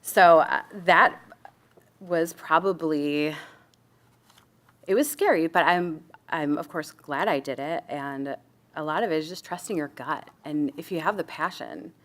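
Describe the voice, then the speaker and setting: Low-voiced woman, conversational. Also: measured pace. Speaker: panelist, small business owner. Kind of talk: casual panel talk.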